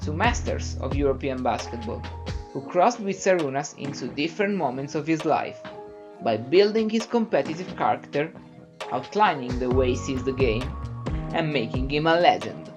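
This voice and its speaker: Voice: medium pitch, expressive, moderate pace. A narrator reading a scripted voice-over for a sports video. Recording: dull muffled sound, music bed.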